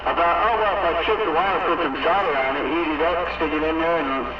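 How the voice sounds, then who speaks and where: A man's voice: a little high, fast talker, distant mic. A man chatting casually as an operator over CB radio. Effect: CB radio transmission. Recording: muffled, echoey, very noisy CB radio signal. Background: loud radio static.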